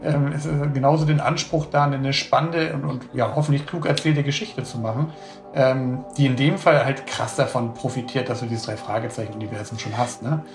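A man's voice: medium pitch, steady tone, fairly quick, echoey room, faint music behind. A man author talking casually and enthusiastically about writing, rambling with many fillers. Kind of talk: casual interview talk.

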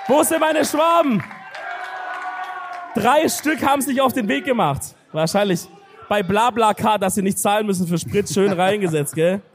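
A man hosting a live podcast show on stage, loud and joking. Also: high-pitched, expressive, a little hiss or hum. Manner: loud, joking, drawn-out exclamations. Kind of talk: live comedy podcast banter. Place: large sold-out concert hall. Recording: live hall recording with crowd.

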